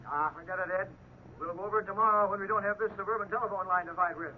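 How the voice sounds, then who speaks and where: Casting: breezy, chummy American sportsman friend, quick talker, filtered telephone voice, fifties radio. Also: high-pitched, phone-quality sound. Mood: eager, chummy. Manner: breezy, colloquial. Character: eager, chummy fishing buddy on the telephone. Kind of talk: 1950s radio drama acting. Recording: thin telephone sound on hissy old radio.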